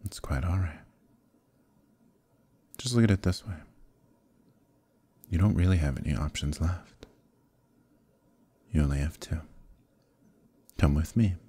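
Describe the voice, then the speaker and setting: A man playing an evil conquering knight in an ASMR roleplay, speaking in a gravelly, husky, measured way, calm and menacing yet quietly coaxing. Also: deep voice. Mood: soft coaxing. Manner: measured, deliberate, quietly persuasive, pausing often. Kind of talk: ASMR roleplay, slow intimate dramatic monologue.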